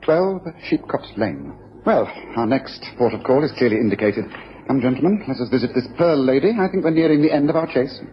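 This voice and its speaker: Brisk, self-assured male detective, acted by a man, period radio drama, lively intonation, dull recording. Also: mid-range voice, lightly acted. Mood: intent, deductive. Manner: decisive, declarative, explanatory. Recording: dull old radio recording, faint hiss.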